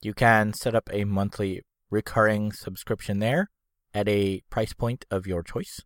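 A man reading a scripted show outro in a slow, deliberate way, carefully spelling things out. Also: lowish voice, fairly even intonation, no room sound, studio quality. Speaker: show announcer.

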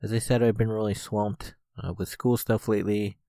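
A young man with a very deep, low voice, talking through a stuffy head cold. He rambles casually on his solo podcast and leans on frequent "uh" fillers. The recording is close and dry, with no room sound. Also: steady tone, normal speed, creaky, warm tone, no room sound. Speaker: podcast host.

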